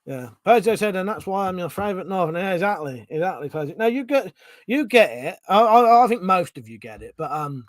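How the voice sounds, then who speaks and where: Middle-aged male streamer, casual and sweary, animated pitch, dry close mic. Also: a little fast, dry recording. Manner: chatty, swears casually, restarts sentences. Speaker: streamer reading chat. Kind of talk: casual live-stream chat.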